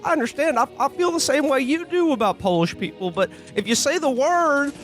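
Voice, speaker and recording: A man's voice: extremely high pitch, lively intonation, brisk, a bit bright, faint music behind. A man playing a panicky, earnest, stuttering old-time movie everyman in a comedic radio play. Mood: panicked. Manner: stuttering, repeats words.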